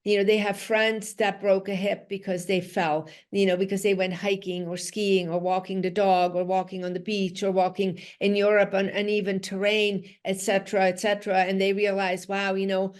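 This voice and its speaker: A woman's voice: mid-range voice, little pitch movement, moderate pace, hardly any pauses. A middle-aged woman from Switzerland, whose first language is Dutch, speaks as a physical therapist guest in a conversational interview. She talks earnestly, restarts her phrases and says "you know" often. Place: home, in Switzerland.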